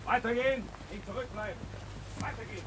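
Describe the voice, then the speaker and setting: An actor playing a strict prison-convoy guard in a 1960s German radio drama, giving clipped, commanding orders. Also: male voice, very high-pitched, fast. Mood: stern. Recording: noisy old radio recording, outdoor sound effects.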